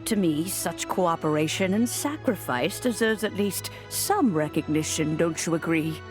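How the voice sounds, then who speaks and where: An actress playing a calm, gracious, conciliatory character in a fantasy game, talking gently and formally. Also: female voice, fairly low pitch, a little slow. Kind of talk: scripted fantasy game dialogue. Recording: music under the voice.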